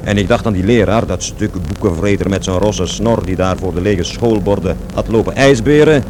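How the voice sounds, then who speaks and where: Over clear street noise, a male actor plays a defiant young man in a radio play. His voice sits fairly low, and he tells stories of school and his father in scornful, slangy, colloquial speech. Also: normal speed, close to an everyday voice. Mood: delighted, fond. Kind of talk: radio drama acting, animated storytelling.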